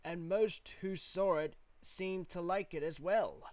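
Man acting a high-voiced, friendly uncle. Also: slow, a little mellow, no echo. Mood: casual. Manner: friendly, matter-of-fact. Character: friendly, easygoing uncle of a teenage girl. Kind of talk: acted fan-fiction audio reading.

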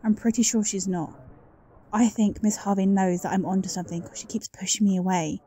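Woman acting a very mellow, suspicious office colleague. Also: mid-range voice, fairly even intonation, a little fast, a little hiss or hum, lightly acted. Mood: uneasy, confiding. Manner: confiding, conversational. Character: suspicious, insecure office colleague confiding quietly. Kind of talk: roleplay audio drama.

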